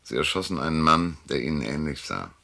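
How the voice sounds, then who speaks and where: A man's voice: extremely low pitch, sing-song, fairly quick, creaky, pushed and bright, no room sound, exaggerated voice. An actor playing a world-weary male private detective in a German hardboiled radio drama, dry, laconic and self-mocking. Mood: terse, probing.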